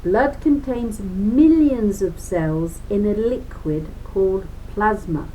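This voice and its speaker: A woman's voice: medium pitch, slow, mellow. Woman reading aloud, noisy recording. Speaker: reader of a children's book. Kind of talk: read-aloud lesson for children with chatty asides.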